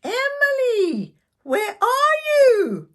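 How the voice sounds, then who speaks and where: A woman's voice: very high-pitched, wildly swooping pitch, voice pushed up, exaggerated voice. An older woman voicing a frantic, protective nineteen-year-old big sister in a storybook, calling out urgently. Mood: urgent, worried. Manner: calling out urgently, drawn-out words.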